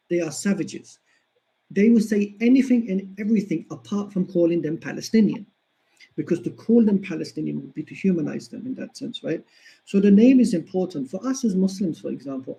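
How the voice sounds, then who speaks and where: A man's voice: high voice, creaky, dark tone, poor audio. A man giving an earnest history lecture, driving points home by repeating them. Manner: earnest, emphatic repetition. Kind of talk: explanatory lecture.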